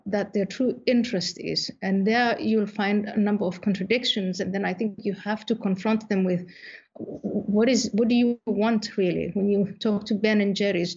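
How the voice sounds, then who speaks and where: A woman from Somalia, a guest author, answering interview questions in a measured, thoughtful way with frequent pauses and fillers. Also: mid-range voice, a little mellow, breathy edge, muffled recording.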